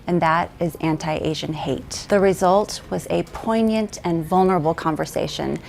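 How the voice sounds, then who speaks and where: Low, gravelly-voiced woman producer. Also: normal speed, darkish tone, a little hiss or hum. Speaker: producer and interviewer. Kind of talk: documentary intro and interview questions.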